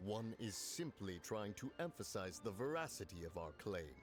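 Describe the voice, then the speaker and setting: Solemn game immortal: low register, measured courtly archaic speech, faint music behind. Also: male voice, very animated. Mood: pointed. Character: grave, courteous immortal adeptus in human form. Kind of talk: video game voice acting.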